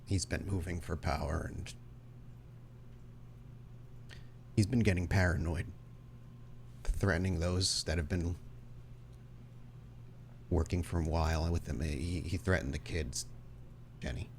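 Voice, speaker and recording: A man plays a regretful estranged father in a tabletop drama. He speaks low and haltingly, earnest but defensive about what he has done. There is faint noise in the recording. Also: steady tone, creaky. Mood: urgent.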